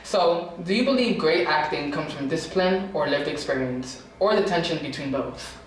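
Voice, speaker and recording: A woman hosting a casual interview, speaking in slangy phrases and quick affirmations. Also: sits low, animated. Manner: slangy, hyping the guest, frequent short affirmations. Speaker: podcast interviewer. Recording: very echoey room, distant mic, faint noise.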